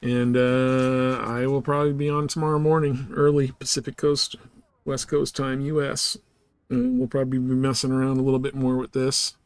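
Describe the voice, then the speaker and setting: A man's voice: medium pitch, completely flat delivery, normal speed, smooth, dry recording. A man chatting casually and informally as he hosts a live game stream, rambling in slangy language.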